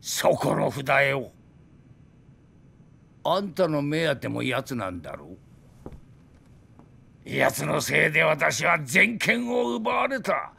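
An embittered, drunken old weapons designer in a video game drama. His high-pitched voice has a creaky edge and swings widely as he boasts and rants with theatrical indignation. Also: very animated, takes it slowly, long pauses, sharp tone, exaggerated voice. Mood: bitter, brooding. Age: older. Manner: theatrical, grandiose, indignant ranting.

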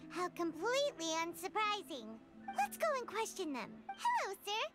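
A woman voicing a small, excitable, anxious fairy companion with a squeaky childlike voice in a video game. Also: moderate pace, full character voice. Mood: chipper, scheming. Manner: speaks of herself in third person, exclaiming. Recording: loud game music behind.

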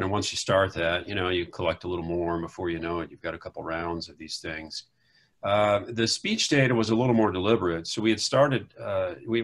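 A man's voice: sits low, fairly bright tone. A man in his forties, a political scientist, explaining his research in a casual, thoughtful way on a video call. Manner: thoughtful, explanatory, with "you know" asides. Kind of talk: casual academic conversation. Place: home room.

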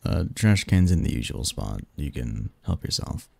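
A man acting a low, creaky, snarky research assistant. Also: sing-song, exaggerated voice. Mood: casual, wry. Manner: wry, pedantic, halting mumbles and soft chuckles. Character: snarky, cynical young male research assistant, ambitious and bookish. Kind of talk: scripted ASMR audio roleplay, conversational.

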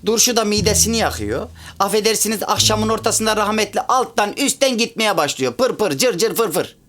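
Lively, folksy Central Anatolian rambler. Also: male voice, high voice, brisk. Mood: chatty storytelling. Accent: rural Central Anatolian Turkish, Çorum area. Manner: folksy, rambling, pedantic about grammar. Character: chatty half-French man with provincial Turkish manners, sentimental. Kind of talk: comic radio theatre dialogue.

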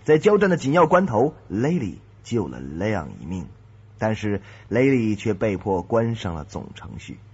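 A man with a husky voice reading a dramatic scripted preview as an announcer. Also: fairly low pitch, very animated, moderate pace, a little mellow. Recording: dull, muffled audio.

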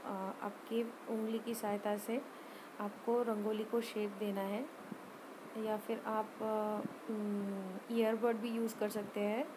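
Woman, craft tutorial voice-over, medium pitch, even intonation, hesitant delivery, clear background noise. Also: slow pace. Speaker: rangoli art tutorial presenter. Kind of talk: informal step-by-step craft voice-over.

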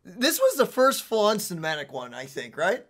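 A man in his twenties hosting a casual game trailer reaction in an excitable, exclamatory way. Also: high voice, moderate pace. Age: 20s. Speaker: reaction show host. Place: small indoor streaming setup.

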